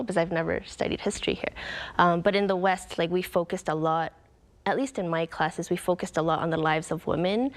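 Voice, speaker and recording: A young woman author from Canada giving earnest, reflective interview answers, with frequent "um" and "like". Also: fairly low pitch. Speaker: author guest.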